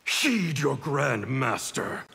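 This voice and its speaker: A man's voice: mid-range voice, very animated, drawn-out words, creaky, pushed and bright, husky, full character voice. A man playing a cold, proud and domineering ninja grand master in a fighting game, commanding and menacing. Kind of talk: dramatic fighting game dialogue.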